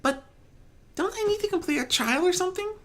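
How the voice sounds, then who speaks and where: Man putting on a high, timid, sweet girl pony. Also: animated, brisk. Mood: hesitant, polite. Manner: meek, gentle, hesitant. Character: timid, sweet, polite young female pony. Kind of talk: fan fiction audiobook character reading.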